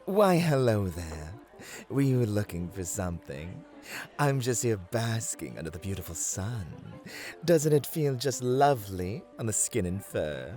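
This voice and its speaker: A man performs a vain, playful satyr trickster for a fantasy roleplay. He takes his time over flowery, coaxing phrases, swinging his pitch with teasing theatricality, with music beneath. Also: big pitch swings, unhurried, a little mellow. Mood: charming, breezy. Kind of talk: fantasy roleplay ASMR acting.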